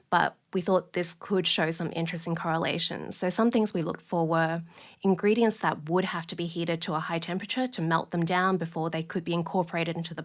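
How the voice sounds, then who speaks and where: A woman scientist giving plain-spoken explanations of technical points as an interview guest. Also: fairly low pitch.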